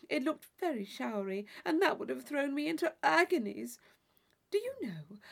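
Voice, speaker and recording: A woman's voice: on the high side, vocal fry, darkish tone, full character voice. A woman voicing a gushing, theatrical young society lady in a classic novel reading, effusive, affected and intense. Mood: gushing, chatty.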